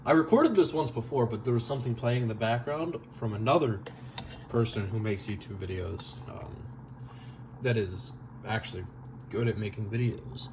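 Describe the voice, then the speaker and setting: A young man rambles through a home cooking vlog, full of fillers and poking fun at himself. His voice sits at an ordinary pitch and is picked up on a camera mic in his kitchen, and he sometimes drifts away from it. A steady hiss of noise runs under the whole recording. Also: moderate pace. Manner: self-deprecating, rambling, filler-heavy. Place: home kitchen, moving around the stove.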